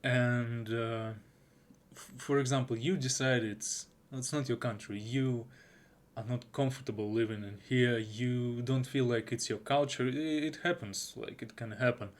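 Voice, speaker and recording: A young man whose native tongue is Russian talks through an anime review in an unscripted way. He speaks slowly and stops to restart his sentences. His voice sits at a middle pitch. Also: steady tone. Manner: halting, with frequent restarts and fillers. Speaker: YouTuber.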